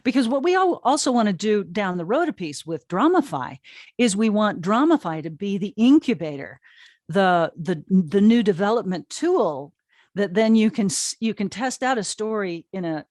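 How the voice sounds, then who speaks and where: A woman's voice: mid-range voice, big pitch swings, normal speed, darkish tone, dry recording, clean studio sound. A woman giving conversational interview answers in an enthusiastic, emphatic and informal way. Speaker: interview guest, audio drama streaming co-founder.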